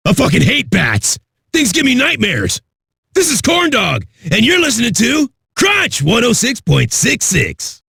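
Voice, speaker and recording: A foul-mouthed, hyped-up rock radio DJ for a video game. His voice is raspy and very high for a man, with a forceful edge. He swaggers through every line like an aggressive party host, in a close, dry sound. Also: huge pitch swings, unhurried, no room sound, exaggerated voice. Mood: cocky, amped. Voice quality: raspy, gravelly. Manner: aggressive, rowdy, swaggering delivery.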